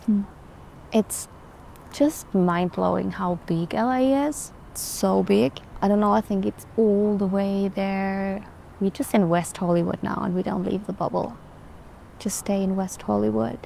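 A young woman from Austria, chatting casually to the camera as a vlogger, relaxed and talkative. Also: medium pitch, vocal fry, a little hiss or hum, low-quality recording. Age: young adult.

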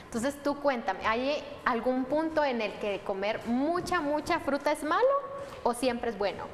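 A woman's voice: high-pitched, animated, unhurried. A young woman hosting conversationally and asking questions. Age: young adult. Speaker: host. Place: studio set. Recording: hall reverb, background noise.

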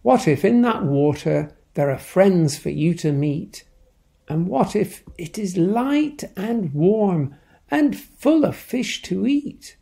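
Woman doing a soft loving mama bird, coaxing, slow and warm, bit of gravel. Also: lowish voice, lively intonation, voice pushed up, full character voice. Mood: tender.

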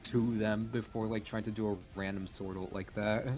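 A man's voice: lowish voice, flat delivery, normal speed, a little mellow, soft background music. A young man bantering casually and jokingly while commenting on a game, using plenty of slang. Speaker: esports scrim commentator.

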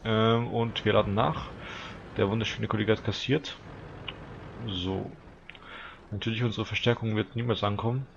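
Teen gamer with a stuffy nose. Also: male voice, lowish voice, moderate pace, creaky, pauses often, dull audio, noisy background. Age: teens. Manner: chatty, relaxed, talks to viewers. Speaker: gaming channel host. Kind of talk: casual gameplay commentary.

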